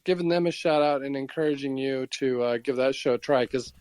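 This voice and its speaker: Older male co-host, medium pitch, relaxed chat format, dry close sound. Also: fairly quick, clean tone, no room sound. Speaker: podcast co-host. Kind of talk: casual chat.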